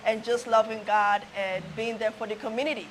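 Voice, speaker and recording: A woman's voice: fairly high pitch, fairly even intonation, brisk, a little hiss or hum, rough recording. A woman from Nigeria who sings Afro gospel, chatting in a playful, bubbly, outgoing way. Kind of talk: casual interview chat.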